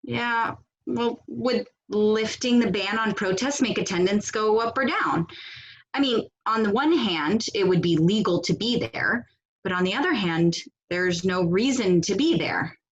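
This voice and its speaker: A woman acting a blunt, sardonic protest organizer in a stage comedy over a video call, with a brisk, dry, bossy delivery. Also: medium pitch, muffled recording, close to an everyday voice. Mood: dry, musing. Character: blunt, sardonic female leader of a protest cell.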